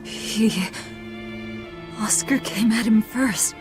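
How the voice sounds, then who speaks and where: An actress voicing a hardened, vengeful young woman struggling with guilt in a game cutscene. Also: drawn-out words. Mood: numb guilt. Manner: low and flat, then shouting in anguish. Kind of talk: video game voice acting. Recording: game audio over music score.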